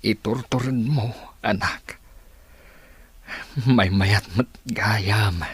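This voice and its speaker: Guy doing a gentle frail old father for radio drama, halting, kinda husky, dry sound. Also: fairly low pitch, no echo, full character voice. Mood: forgiving, earnest. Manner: halting, stammering speech.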